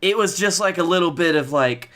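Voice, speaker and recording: A man's voice: a little high, lively intonation, fairly quick, fairly bright tone. A man in his twenties chatting casually as a podcast co-host. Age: 20s. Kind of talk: casual banter about wrestling. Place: bedroom, on a video call.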